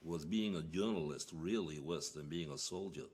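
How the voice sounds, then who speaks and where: An actor playing a cold, calculating Soviet officer with a measured, sardonic manner in a game cutscene. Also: male voice, rumbling low, a little mellow, breathy edge. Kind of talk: dramatic game cutscene acting.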